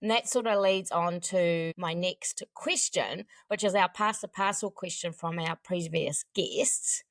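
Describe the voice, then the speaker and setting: A woman's voice: lowish voice, measured pace, gravelly, few pauses, dry recording. A woman hosting a podcast, introducing her guest and asking questions in a conversational way. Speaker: podcast host. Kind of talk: scripted introduction and conversational interviewing.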